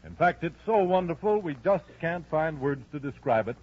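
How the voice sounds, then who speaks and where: A man's voice: fairly high pitch, expressive, normal speed, very noisy. A man reading a scripted old-time radio sign-off as the show's announcer, with polished showmanship. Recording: muffled old radio broadcast recording. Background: faint organ music.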